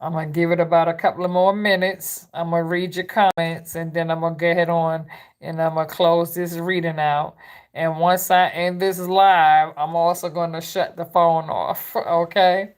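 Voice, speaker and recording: Woman in her fifties, New York accent, low-pitched, easygoing live call-in host. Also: little pitch movement, moderate pace, smooth. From East Harlem, New York. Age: 50s. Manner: easygoing, chatty, uses "girl". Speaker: tarot reader hosting a live call-in. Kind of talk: casual live-stream call-in chat.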